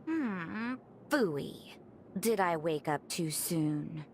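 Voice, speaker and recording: A woman's voice: on the low side, expressive, drawn-out words, slight background noise, heavily acted. A woman acting a powerful, imperious character in a video game cutscene, with a languid, dramatic delivery. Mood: playful nonchalance. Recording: loud music behind the voice.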